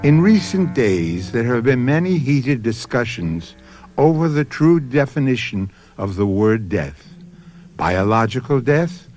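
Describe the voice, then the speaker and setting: A male host delivering a scripted closing reflection for a radio drama. His pace is measured and his tone reflective, with pitch that moves a good deal. Faint music plays underneath. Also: a little mellow.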